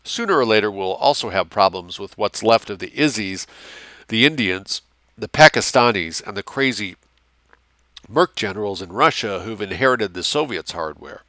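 Man playing a blunt, low-voiced military man. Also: expressive, moderate pace, bright, forceful tone, dry recording. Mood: grim. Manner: coarse, slangy, matter-of-fact. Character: blunt, cynical military briefing officer in war novel.

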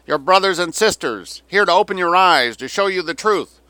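A man in an audiobook voicing a Chinese propagandist who makes loud, imploring proclamations as if over a loudspeaker. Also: high-pitched, lively intonation, normal speed, no room sound, voice pushed up, low-quality recording. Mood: pleading, declamatory. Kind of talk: dramatic audiobook character reading.

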